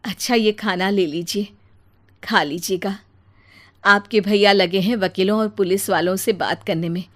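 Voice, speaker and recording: A woman's voice: mid-range voice, steady tone, measured pace, a bit bright, lightly acted. A woman playing a caring, resolute elder sister-in-law who speaks reassuringly in a family story.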